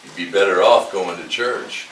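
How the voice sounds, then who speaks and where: A man's voice: sits low, moderate pace, a bit bright. An actor at a live table read, playing a grumpy, scowling old male teacher with a dry, folksy way of talking. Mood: dry, softening. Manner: dry, curmudgeonly, folksy grammar. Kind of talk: live staged script reading. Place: live staged event in a room. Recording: roomy, distant microphone, faint noise.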